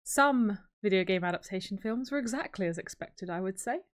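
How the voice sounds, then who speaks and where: A woman co-hosting a podcast, chatting informally at a middle pitch. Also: expressive, a little fast. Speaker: podcast co-host. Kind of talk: casual pop culture chat.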